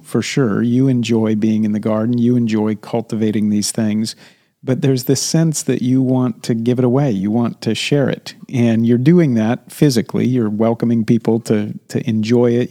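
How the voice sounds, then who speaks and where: A man hosting an interview, speaking in a thoughtful, measured way and often pausing to search for words. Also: medium pitch, dark tone. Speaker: interview host. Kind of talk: casual interview.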